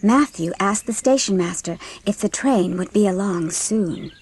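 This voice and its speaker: A woman's voice: mid-range voice, unhurried, a little mellow, a little hiss or hum. A woman narrating a dramatised audiobook from a script, telling the story in literary prose.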